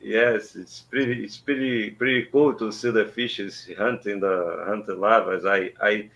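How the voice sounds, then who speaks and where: A Brazilian man with a Brazilian Portuguese accent calls in to chat, speaking slowly and haltingly as he searches for English words. His voice is low and gravelly. The line sounds dull and lo-fi. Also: steady tone, a bit bright. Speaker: caller. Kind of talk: casual chat.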